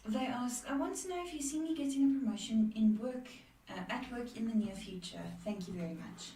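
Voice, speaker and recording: A woman reads viewer questions aloud for a livestream, brisk and matter-of-fact. Her voice sits a little high and comes from some distance off the mic. The room is echoey, with a faint hiss behind her. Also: warm tone. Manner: brisk, matter-of-fact, moves things along. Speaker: co-host reading out viewer questions.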